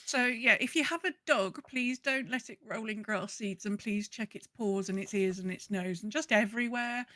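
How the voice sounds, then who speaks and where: A woman's voice: on the high side, moderate pace, bright, forceful tone, no room sound. A woman with a British accent chatting casually as a podcast co-host, telling things in an emphatic, conversational way. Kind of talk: casual chat.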